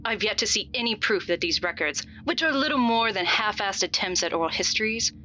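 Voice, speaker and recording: An actress plays an impatient, high-ranking woman elder in a fantasy drama. She is curt and dismissive, with a sharp, bright voice. The sound is a little dull. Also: expressive, a little fast. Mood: scornful. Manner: curt, scornful. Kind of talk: fantasy audio drama dialogue. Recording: dull band-limited sound, faint noise.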